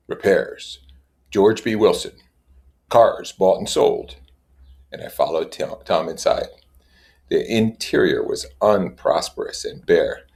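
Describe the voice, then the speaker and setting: A man reading literature aloud in a measured way, with casual explanatory asides. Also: low-pitched, gravelly, a little mellow. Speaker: reader and commentator.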